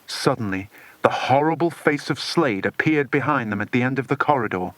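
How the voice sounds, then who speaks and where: A man reading a children's adventure story as a dramatic, expressive audiobook narrator. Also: mid-range voice, moderate pace, no echo, poor audio.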